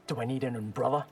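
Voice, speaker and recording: A man's voice: medium pitch, natural voice. A man voicing a cocky, wry young fighter who trades casual quips in video game dialogue.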